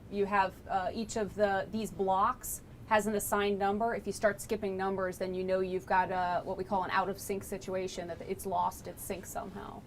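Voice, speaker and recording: A woman gives an informal technical briefing as a lab engineer. Her medium-pitched voice is explanatory and conversational, over a faint rumble in the room. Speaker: lab engineer presenting flight recorder analysis. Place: laboratory tour, indoors. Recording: noisy room with low rumble.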